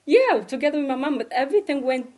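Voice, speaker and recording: A woman's voice: sits high, animated, quick pace, a little mellow. Hesitant good-humoured woman from Ghana. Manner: hesitant, many fillers and restarts, good-humoured. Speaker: interview guest. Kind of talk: casual conversational interview.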